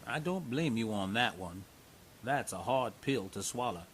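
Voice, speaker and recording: An actor playing a kindly man visiting a patient, gentle and reassuring in his speech. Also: mid-range voice, expressive, measured pace, a little hiss or hum. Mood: calm.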